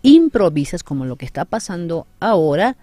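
A woman's voice: on the low side, sing-song, moderate pace, dark tone, no room sound. Woman, forties, earnest radio guest, dry close studio sound. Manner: earnest, explanatory, emphatic. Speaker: guest, city councillor. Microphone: studio mic on boom arm.

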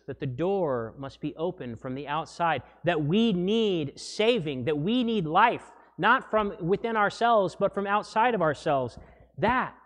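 Fairly high-voiced guy in his 30s giving a sermon, slow and wry, church reverb all around. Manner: animated, gesturing while preaching. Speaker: pastor. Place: church sanctuary, indoors. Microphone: gooseneck pulpit mic.

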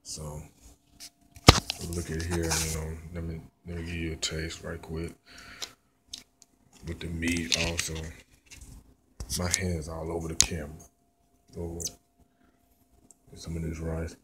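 A man's voice: extremely low pitch, completely flat delivery, slow pace, pauses often, heavy background noise. A man in his thirties with a Memphis Southern accent, rambling and repeating himself as he reviews food while eating, filmed on a handheld phone indoors. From born in Memphis, raised in Mississippi. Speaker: vlogger. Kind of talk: casual food review vlog. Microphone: handheld phone camera at arm's length.

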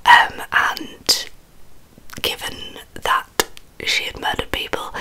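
A young woman with an English accent whispers softly, right up at the microphone, in an ASMR storytelling style. Her breathy whisper is crisp and sibilant-bright, and she takes her time, pausing with slight hesitation as she goes. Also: low-quality recording. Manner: soft, unhurried, slightly hesitant. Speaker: ASMR video host. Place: small carpeted bedroom. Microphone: large microphone very close in front of the mouth.

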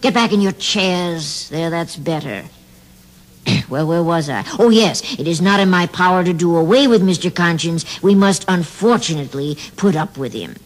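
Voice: medium pitch, moderate pace, lightly acted. Someone playing Diabolus, an oily mocking devil. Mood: scheming. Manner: oily, wheedling, theatrical with fussy asides. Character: scheming male devil tyrant, smooth and mocking.

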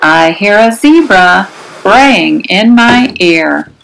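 A woman reading aloud to young children as a storyteller, in an expressive, singsong and emphatic manner. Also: medium pitch, vocal fry, slight background noise, low-quality recording. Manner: singsong, deliberate, emphatic delivery. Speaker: children's read-aloud host. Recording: music behind the voice. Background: music.

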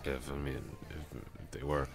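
A man playing a drunk, rambling, awkwardly friendly office coworker in an intimate ASMR roleplay, halting and repeating himself as he slurs along. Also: extremely low pitch, completely flat delivery, creaky, music in the background. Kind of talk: intimate ASMR roleplay, one-sided conversation.

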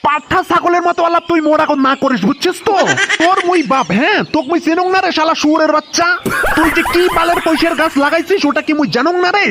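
A man's voice: very high voice, fairly even intonation, fast. A man voicing a scolding, insulting village father in a comedy cartoon, with a North Bengal accent and a coarse, mocking manner. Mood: angry, mocking. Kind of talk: comedy cartoon dialect dialogue. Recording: faint background noise with clicks.

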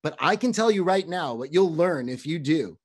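A middle-aged rabbi telling a story dramatically on a video call from a room at home. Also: male voice, fairly high pitch, expressive, moderate pace, slightly rough. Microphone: webcam on video call.

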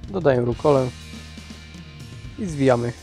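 A man's voice: medium pitch, expressive, normal speed, mellow. A man giving scripted recipe narration as a cooking host. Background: guitar music.

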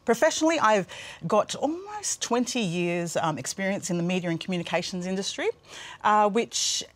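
A woman's voice: medium pitch, animated, moderate pace. A woman in her thirties from Australia, co-hosting a church broadcast in a studio, chatty with fillers and laughs. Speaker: co-host. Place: studio with blue screens.

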